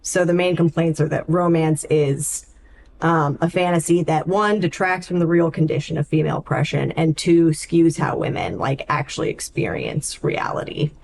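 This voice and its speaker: Lower-voiced woman in casual book chat. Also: moderate pace, gravelly, a little mellow. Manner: informal, peppered with "like" and "um". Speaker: podcast co-host. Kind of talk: casual chat about books.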